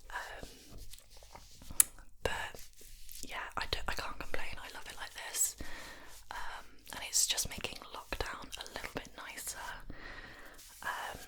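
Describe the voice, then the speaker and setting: A woman chatting in a soft ASMR whisper while doing her makeup, slightly nasal from hay fever, with many relaxed "um"s. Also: measured pace, pauses often. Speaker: beauty YouTuber. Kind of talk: whispered ASMR get-ready-with-me chat.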